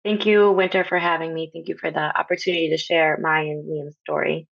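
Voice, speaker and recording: A woman in her thirties from Honduras, a podcast guest talking casually with many pauses and ums. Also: deep voice, level tone, creaky, fairly bright tone, no echo. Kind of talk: casual interview talk. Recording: slightly dull lo-fi audio.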